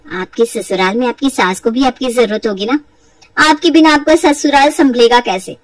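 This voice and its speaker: A woman acts a young daughter-in-law in a cartoon family story. She has a high voice and a polite, sly, insinuating manner. The sound is dry and slightly off the microphone. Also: moderate pace, no room sound, far from the mic, natural voice, low-quality recording. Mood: sweetly pointed. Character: shrewd young daughter-in-law, sweetly sly, weary of taunts. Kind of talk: acted Hindi moral story for cartoon.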